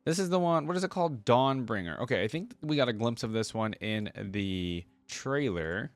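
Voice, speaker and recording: A man's voice: mid-range voice, expressive, moderate pace, no echo. A man giving casual reaction commentary in an enthusiastic, conversational way, as if thinking aloud. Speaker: reaction video creator. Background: background music.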